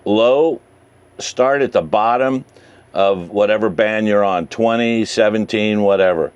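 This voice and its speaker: A man's voice: on the low side, a little mellow, clear, resonant tone, no echo. A man presenting an informal, encouraging tutorial as an enthusiastic radio hobbyist, walking through things step by step. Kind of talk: informal screen-share tutorial.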